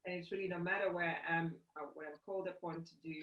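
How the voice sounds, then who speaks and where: A woman's voice: on the low side, fairly even intonation, quick pace. A middle-aged woman from South Africa, a company CEO, talking earnestly in a video call interview from a home room, often restarting her phrases. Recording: roomy, distant, dull video call audio.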